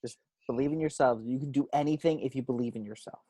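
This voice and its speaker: Heroic father, played by a man, pleading and sincere, medium pitch, very dry recording, amateur fantasy script read. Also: fast, dark tone, dry recording, lightly acted. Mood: pleading encouraging. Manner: earnest, urgent.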